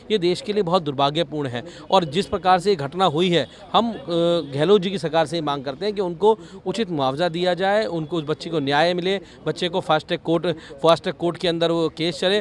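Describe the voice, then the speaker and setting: A man giving a fluent, insistent political statement in a news interview. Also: a little high. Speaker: politician, party legislator.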